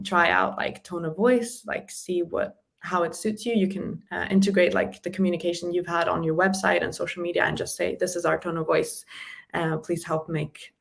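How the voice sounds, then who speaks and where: Low gravelly woman giving tips. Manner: explanatory, with small laughs between points. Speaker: guest, communication specialist. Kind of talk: casual interview chat giving practical tips.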